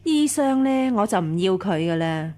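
An actress playing an imperious first wife of a wealthy household in a radio drama, curt and commanding. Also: female voice, mid-range voice, expressive, normal speed, warm tone, lightly acted. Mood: cold, dismissive.

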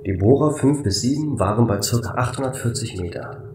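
Guy doing a calm factual space station computer, formal and flat, sci-fi radio play, slight noise. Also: medium pitch, brisk, roomy sound, distant mic, natural voice. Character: calm, matter-of-fact station AI computer named Keep. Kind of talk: amateur sci-fi audio drama acting.

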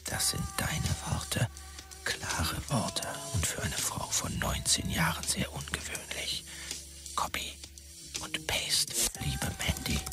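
An actor playing a self-important, pushy and sleazy young dot-com manager in a radio drama, with officious, jargon-heavy delivery. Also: male voice, fairly high pitch, heavily acted. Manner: officious business jargon, heavy English buzzwords. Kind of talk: radio drama, characters reading out e-mails.

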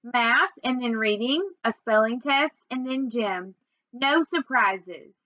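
A young adult woman reading a children's novel aloud in an expressive storytelling manner. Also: a little high, slow pace, dry recording, lo-fi sound. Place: small indoor room at home.

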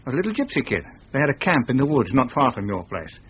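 An actor playing a chatty, sympathetic British estate agent in a radio thriller. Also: male voice, mid-range voice, very animated, fast, breathy edge. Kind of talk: radio thriller drama acting. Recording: dull radio drama recording.

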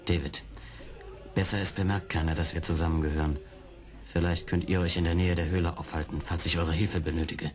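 An actor playing an earnest, determined army major in an audio drama, polite and articulate. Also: male voice, sits low, little pitch movement, brisk, darkish tone, close to an everyday voice. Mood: urgent, hushed. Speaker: actor in a radio play. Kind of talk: 1970s German audio drama acting.

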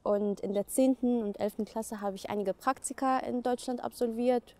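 Young Egyptian woman, mellow voice. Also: a little high, steady tone, moderate pace. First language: Arabic. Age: young adult. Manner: earnest, with frequent fillers. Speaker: student. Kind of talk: interview monologue.

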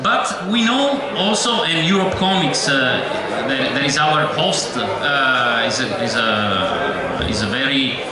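Middle-aged Italian-accented male moderator, halting delivery, very slow; recorded from afar in a reverberant hall with crowd noise. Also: a little high. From Italy. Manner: hesitant, many filler "uh"s. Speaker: panel moderator. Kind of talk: live panel introduction. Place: large festival hall, on stage. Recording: echoey hall from far off with crowd.

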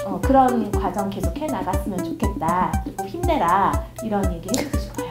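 Earnest woman teacher, lively pitch. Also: brisk, echoey room, loud music behind the voice. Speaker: ceramics teacher. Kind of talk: documentary interview. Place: pottery studio, indoors.

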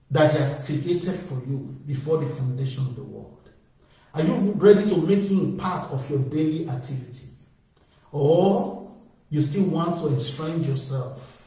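A man preaching with fervent, repetitive calls that swell with emphasis. His slightly high voice rings through a heavily echoing space, heard from some distance off the mic. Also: mellow. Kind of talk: evangelistic sermon.

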